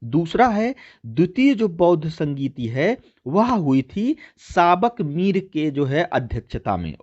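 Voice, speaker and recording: A man's voice: fairly high pitch, darkish tone, muffled recording. A man teaching an exam-prep lesson, addressing listeners as friends and repeating key points as he explains. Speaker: teacher hosting a history quiz channel.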